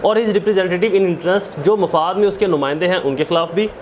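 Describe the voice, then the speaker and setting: A high-voiced man with a Pakistani accent delivers an explanatory lecture. He teaches step by step, restating key phrases and mixing formal read-out wording with plain examples. There is some noise in the recording. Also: moderate pace. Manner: didactic, repeats phrases for emphasis. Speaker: law lecturer.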